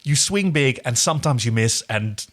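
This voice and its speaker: A man's voice: medium pitch, lively intonation, normal speed, a bit bright. A man co-hosting a podcast, chatting casually and playfully about a TV episode with wry humour. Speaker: podcast co-host.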